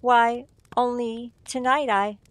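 A woman's voice: a little high, steady tone, slow pace, no echo. A woman playing a sly, gloating sleuth in a radio mystery, with a theatrical, mocking drawl. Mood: smug, amused. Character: sly, gloating amateur sleuth taunting a poisoner.

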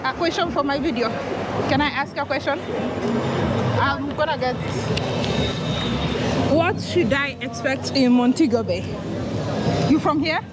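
A woman's voice: sits high, a little slow, pauses often. A Trinidadian woman vlogging and asking a local questions on a busy city street. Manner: chatty, laughs readily, asks questions. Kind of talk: street vlog interview. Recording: loud traffic and crowd noise outdoors. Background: street traffic and passers-by.

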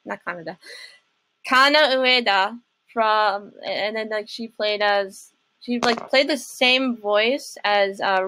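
A young adult woman chatting casually and haltingly as she hosts a reaction video about games. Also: mid-range voice, sharp tone, rough recording.

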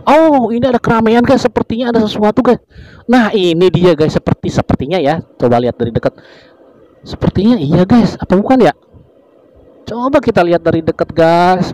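A man's voice: high voice, mellow, no room sound, poor audio. A man from Indonesia narrating a motorbike ride through village roads in casual, chatty fashion, constantly addressing his viewers and wondering aloud about the way ahead. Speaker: motovlogger.

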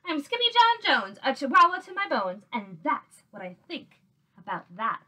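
A cheeky storybook boy kitten, performed by a woman, high and bright. He is excitable, boastful and theatrical, swooping around as if he were a tiny hero. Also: normal speed, full character voice, low-quality recording. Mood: excited, boastful. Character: cheeky, boastful little boy kitten who fancies himself a chihuahua. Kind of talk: children's storybook read aloud.

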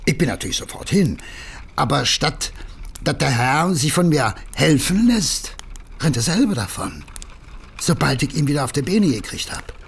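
An actor playing a humble, nervous working-class messenger with a Berlin accent, rambling and hesitant in colloquial speech, in an audio drama. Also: male voice, fairly high pitch, sing-song, normal speed, gravelly, a little hiss or hum. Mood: rambling, sheepish. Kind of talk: German audio drama acting.

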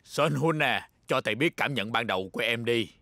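Man as a gravelly, attentive male teacher. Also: mid-range voice, expressive, brisk, background noise, lightly acted. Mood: instructive. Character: mild, attentive male after-school reading teacher. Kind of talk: children's cartoon dub acting.

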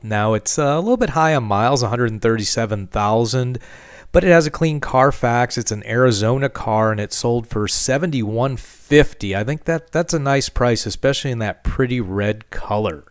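A man hosting a car channel, talking casually over a market review with relaxed fillers. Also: medium pitch, smooth, dry recording, studio quality. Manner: relaxed, conversational, frequent "uh" fillers.

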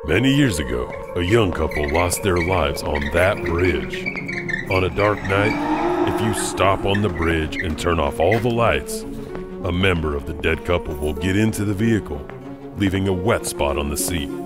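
A man's voice: deep voice, normal speed. A man hosting with scripted storytelling narration of spooky urban legends. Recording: loud music under the voice. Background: loud music.

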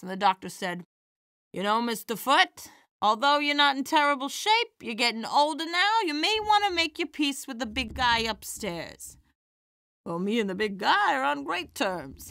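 A woman playing an elderly, kindly widowed stand-up comedienne in an audio drama, chatty and animated. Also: on the high side, vocal fry, dry recording, clean studio sound, full character voice.

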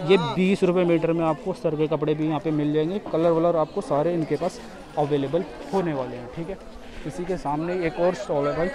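A man giving a casual market tour as a vlogger, often repeating himself and addressing viewers as brother, recorded outdoors in a street market. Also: a little high. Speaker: vlogger and host. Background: crowd chatter.

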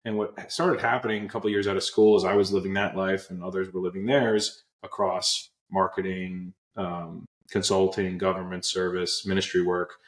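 A man's voice: low-pitched, little pitch movement, moderate pace, hardly any pauses, echoey room. A young man from Pennsylvania, a guest on a video call with earbuds, telling his story earnestly with many fillers and restarts. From Pennsylvania, USA. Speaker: guest, app co-founder. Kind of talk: casual interview storytelling. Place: small room indoors. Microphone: earbud headset on video call.

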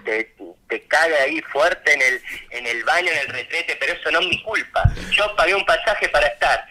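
A man's voice: fairly high pitch, moderate pace, noisy background. A man with an Argentinian accent in a heated phone call, exasperated, stammering and swearing. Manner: exasperated, foul-mouthed, stammering. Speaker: airline customer on a prank call.

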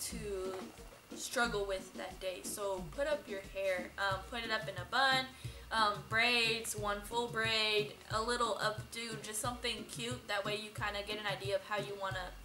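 A young adult woman chatting informally as she gives explanatory advice as a vlog host. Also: mid-range voice. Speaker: vlog host, boutique worker. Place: indoor dress shop. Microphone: camera a few metres away. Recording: roomy sound from camera distance.

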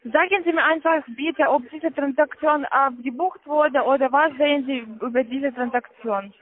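A woman works as a call-centre agent. She gives insistent instructions in halting, phrasing. The sound is a muffled, lo-fi telephone line. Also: sits high. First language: not German. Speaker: call-centre phone scammer. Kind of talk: pushy scripted phone instructions. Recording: dull lo-fi phone line.

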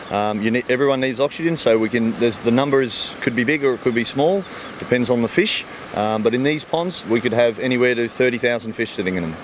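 Australian farmer bloke talking quick and easy, simple comparisons, outside by ponds with a bit of background hum. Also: male voice, medium pitch. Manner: easygoing, explains simply with everyday comparisons. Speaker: fish farmer. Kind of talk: outdoor interview answering kids' questions. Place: outdoors beside farm ponds. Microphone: handheld mic held by interviewer.

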